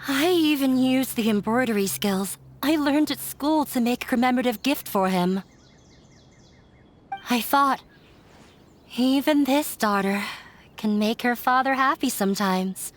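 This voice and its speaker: An actress voicing a young woman who ran away from a demanding father, speaking in a quiet, resigned way. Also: a little high, measured pace, lightly acted. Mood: wistful. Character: young woman, runaway daughter, hurt and weary. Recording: game audio with faint music.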